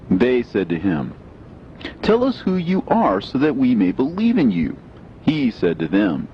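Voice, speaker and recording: A man giving a solemn, measured, declamatory reading of scripture. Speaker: reader. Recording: dull lo-fi with faint low rumble.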